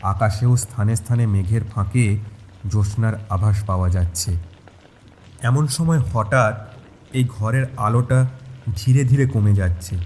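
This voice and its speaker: Man, lowish voice, first-person horror narration, scripted, rain ambience with faint noise. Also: measured pace, long pauses, a little mellow. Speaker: story narrator. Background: rain sounds.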